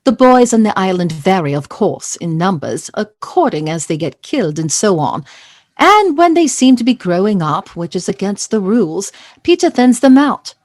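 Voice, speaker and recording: A woman's voice: mid-range voice, big pitch swings, normal speed, darkish tone, dry recording, studio quality. A woman narrating an audio play as a wry, theatrical storyteller reading scripted literary prose.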